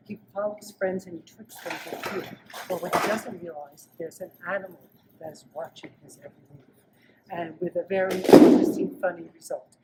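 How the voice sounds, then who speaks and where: A woman, a children's librarian, informally introducing and recommending books. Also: mid-range voice. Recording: clear background noise with clicks.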